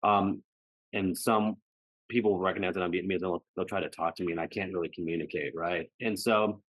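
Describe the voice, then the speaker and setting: An American man with a deep voice talks in a personal, reflective conversation as a podcast guest. The sound is dry, with little room on it. He often closes his phrases with small tags asking for agreement. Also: fairly even intonation, brisk, fairly bright tone, dry recording, poor audio. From United States. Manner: frequently ends phrases seeking agreement with "right" and "you know".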